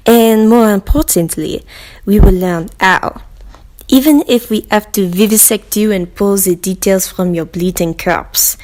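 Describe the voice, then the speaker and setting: Lady acting as a stern captor, formal and measured, resolute, mid pitch, dramatic. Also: darkish tone, lightly acted, rough recording. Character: stern, anguished interrogator pursuing a captured thief. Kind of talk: dramatic character monologue.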